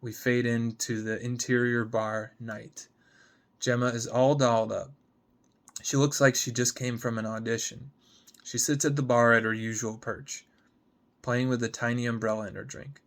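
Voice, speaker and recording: A man's voice: medium pitch, flat delivery, moderate pace. A man narrating scripted stage directions in a dramatic screenplay reading.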